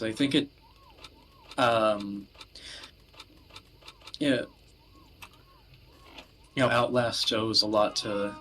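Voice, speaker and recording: A man hosting a casual discussion, speaking haltingly with frequent pauses as he searches for words. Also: medium pitch, sharp tone, a little hiss or hum. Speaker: podcast host. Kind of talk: casual discussion of video games.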